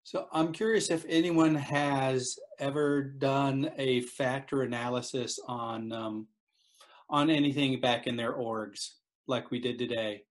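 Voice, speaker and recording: A man's voice: medium pitch, steady tone, measured pace. A man facilitating a conference call and prompting participants to speak. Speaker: meeting facilitator. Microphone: remote conference call.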